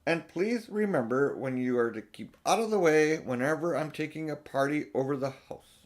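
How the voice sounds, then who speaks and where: A man's voice: on the high side, moderate pace, lightly acted. A man voicing a stern housekeeper woman who gives orders in a storybook. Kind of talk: storybook character reading.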